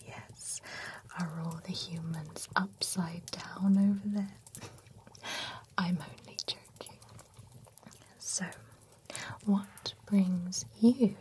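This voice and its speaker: A woman voicing a gentle female spa attendant for monsters, in a soft, teasing, whispered ASMR role-play. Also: fairly low pitch. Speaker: ASMR creator.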